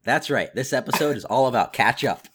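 A young man co-hosting a pop culture podcast while sick, his voice deeper than usual, talking quickly and eagerly. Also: expressive, a bit bright, slightly rough. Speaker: podcast co-host. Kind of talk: casual chat.

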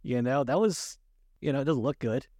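A man's voice: medium pitch, moderate pace, warm tone, dry recording. Jocular man rambling casually, dry recording. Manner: jocular, chuckles often, rambling. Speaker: podcast co-host. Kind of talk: casual movie discussion chat.

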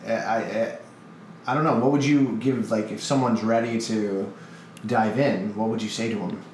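A man hosting a casual podcast, speaking openly and emotionally, halting and restarting as he searches for words. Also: fairly low pitch, fairly even intonation, a little mellow, reverberant, a little hiss or hum. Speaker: podcast host.

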